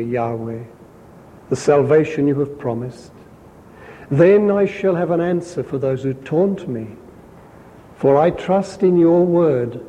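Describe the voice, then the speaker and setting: A man reading scripture aloud in a measured, deliberate way, pausing to explain points to his listeners as a Bible teacher. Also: a little high, big-room sound, dull audio, a little hiss or hum. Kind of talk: slow scripture reading with brief commentary.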